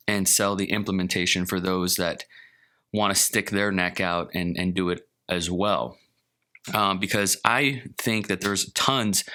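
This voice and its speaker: Low, bright-edged male host in his thirties; earnest, rambling solo vlog talk. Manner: earnest, candid, rambling with repeated phrases. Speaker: podcast host and videographer. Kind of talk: reflective monologue vlog.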